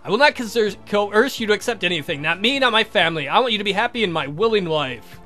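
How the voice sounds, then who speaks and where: A man's voice: sits high, expressive, fast talker, sharp tone, faint music behind. A man voicing a courtly adult vampire count in a visual novel, earnest and doting, with formal, gallant speech. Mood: solemn. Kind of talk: voiced visual novel dialogue.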